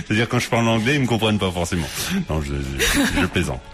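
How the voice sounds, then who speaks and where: A man's voice: fairly low pitch, very animated, fast, fairly bright tone, soft background music. A man hosting a casual radio interview, chatty, joking and laughing often. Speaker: radio host.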